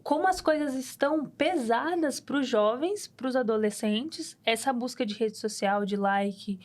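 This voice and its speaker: A woman's voice: a little high, measured pace. A woman, hosting a studio podcast and thinking aloud in a casual, reflective way, with restarts and open questions. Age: 20s. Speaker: podcast host. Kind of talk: casual chat.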